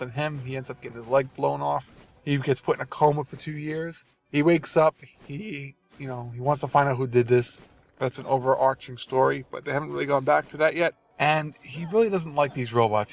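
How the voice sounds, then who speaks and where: A man's voice: medium pitch, creaky. A man with a cold, sounding nasal and congested, rambling casually and apologetically while he drives. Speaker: gaming video host. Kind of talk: casual rambling commentary over gameplay. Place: in a car while driving.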